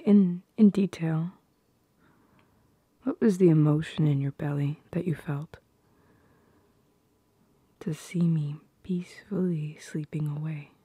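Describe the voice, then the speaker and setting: Breathy, slow, shy young woman acted by a woman. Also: deep voice, warm tone, no echo, heavily acted. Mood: teasing, shy. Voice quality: breathy, whispery. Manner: hesitant, halting, tender. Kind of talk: intimate ASMR roleplay, often whispered.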